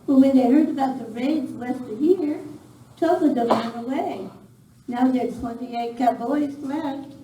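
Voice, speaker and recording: A woman's voice: high-pitched, unhurried. An amateur actress playing a cold Mexican woman bandit informant in a live radio western. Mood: businesslike, scheming. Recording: noisy, echoey room, distant miking.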